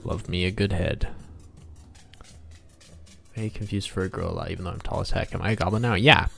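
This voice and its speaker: A man's voice: low voice, fairly even intonation, a little fast, vocal fry, a bit bright, breathy edge. A man hosting a casual game developer livestream, rambling playfully, talking to himself and to viewers as he works. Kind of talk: casual livestream chat while drawing, reading out viewer comments.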